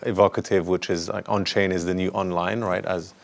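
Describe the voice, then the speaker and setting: Halting male panel moderator, low voice. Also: little pitch movement, vocal fry. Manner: halting, many fillers and restarts. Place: conference stage.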